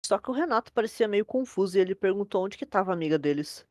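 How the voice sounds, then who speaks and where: A Brazilian woman serves as narrator of a horror story, keeping it conversational. She talks extremely quickly, and the recording is dry, with no room sound. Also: medium pitch, expressive, darkish tone, dry recording.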